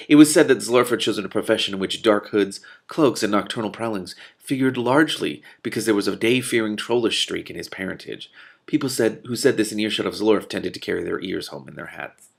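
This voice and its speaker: Man, low creaky voice, expressive book reading, casual amused asides. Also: a little fast. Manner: amused, breaking off to comment and laugh. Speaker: host reading a novel aloud with commentary.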